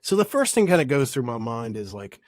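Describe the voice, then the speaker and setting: A middle-aged podcast host sounds stuffy and nasal from a cold. He muses aloud in a loose, wandering way, doubling back on his words. His voice sits at an ordinary pitch in a dry, close recording. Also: sing-song, fairly quick, no room sound. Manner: reflective, rambling, self-correcting. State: has a cold. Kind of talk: casual podcast monologue.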